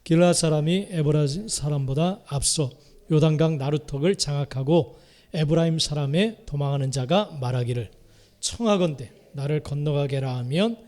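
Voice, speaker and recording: Middle-aged korean pastor, fairly high voice, preaching, gets loud then drops to a mutter, says hallelujah a lot. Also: male voice, moderate pace. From Korea, Chungcheong province. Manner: swings from loud rising exhortation to soft muttered asides; repeats key phrases, calls out hallelujah. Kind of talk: sermon.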